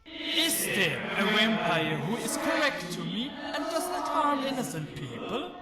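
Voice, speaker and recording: A man voicing a stoic, loyal ghost mercenary archer in a fantasy game, speaking in a measured, formal way. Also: extremely high pitch, very animated, full character voice. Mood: solemn, resolute. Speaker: game follower character. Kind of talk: scripted fantasy game dialogue. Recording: echoey voice under loud music.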